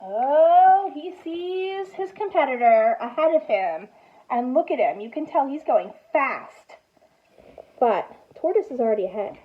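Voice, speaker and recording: A woman teacher doing an expressive picture-book read-aloud for young children, commenting and asking prompting questions in an animated way. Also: high-pitched, measured pace, phone-quality sound. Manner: expressive, animated storytelling with gasps and exclamations.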